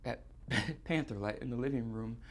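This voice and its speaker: An actor playing a distraught, guilt-ridden man on stage, confessing in halting, repetitive, stammered phrases in a live theatre monologue. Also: medium pitch, a little hiss or hum, lightly acted. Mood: hesitant, dread. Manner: halting, stammering, repeating himself.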